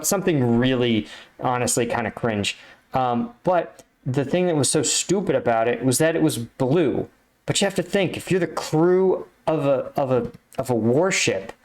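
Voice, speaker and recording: A man's voice: medium pitch, a little mellow. A man hosting a reaction video, explaining things in a casual, conversational way and often checking in with the listener. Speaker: reaction video host, army veteran.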